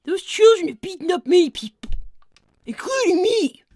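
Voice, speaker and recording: A woman's voice: extremely high pitch, sing-song, normal speed, darkish tone, dry recording. A woman voicing a blunt, bossy five-year-old fox brother, needling in improvised cartoon-style banter. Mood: impatient, bossy.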